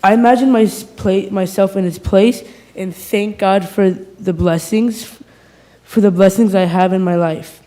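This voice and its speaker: A thirteen-year-old boy speaks in a high, mellow voice. He reads a personal statement at a meeting, earnest and slightly halting as he works through it. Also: fairly even intonation. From small town in Iowa, USA. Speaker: student speaker at a public meeting. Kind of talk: prepared personal speech. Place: school board meeting room.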